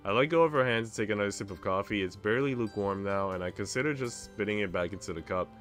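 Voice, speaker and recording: A man's voice: on the low side, quick pace, a bit bright, soft background music. A man streaming a visual novel, reading the story narration expressively with casual asides.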